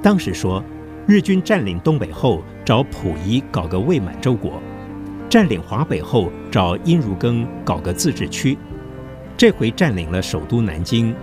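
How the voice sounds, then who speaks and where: A man's voice: fairly low pitch, sing-song, moderate pace. A man narrating a scripted historical story for an audio drama. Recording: music behind the voice. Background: clear background music.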